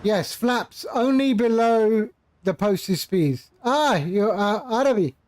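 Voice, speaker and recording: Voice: mid-range voice, expressive, takes it slowly, darkish tone. A flight simulator streamer giving casual live commentary, patiently explaining and repeating phrases while chatting with viewers.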